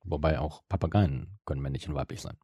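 A man's voice: extremely low pitch, fast talker, dark tone, breathy edge, dry recording, clean studio sound. A man in casual podcast chat, musing hesitantly and hedging as a co-host.

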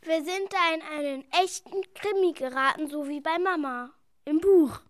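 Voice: high voice, normal speed, a bit bright, dry recording. A child playing a young detective in an amateur radio play, reading the lines in an eager, worried way. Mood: earnest, puzzled.

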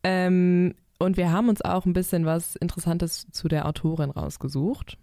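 A woman's voice: lowish voice, little pitch movement, normal speed, creaky, warm tone. A 23-year-old woman hosting a book podcast, moderating playfully and steering the discussion. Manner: playful, guides the talk. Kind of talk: casual moderating.

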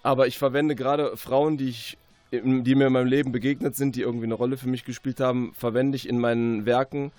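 A man speaking as an author in a radio interview, conversational and reflective, often pausing as he forms his sentences. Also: mid-range voice, flat delivery, darkish tone, no room sound.

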